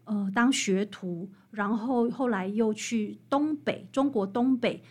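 This woman speaks Mandarin with a Taiwanese accent in a fairly high voice with a husky grain. As an interview guest she explains things earnestly and conversationally, elaborating in repeated, parallel phrases. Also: takes it slowly, darkish tone.

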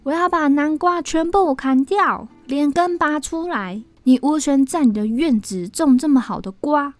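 Woman playing a furious, greedy brother, pitched high. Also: a little slow, no echo. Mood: furious, threatening. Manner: shouting, threatening, indignant. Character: cruel, greedy older brother, hot-tempered young man.

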